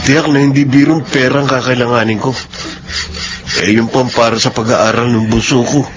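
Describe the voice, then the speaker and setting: A man's voice: medium pitch, steady tone, moderate pace, close to an everyday voice. An actor playing a burdened, earnest middle-aged widowed father in a radio drama, on a noisy recording. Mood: worried. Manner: earnest, troubled. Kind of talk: Filipino radio drama acting.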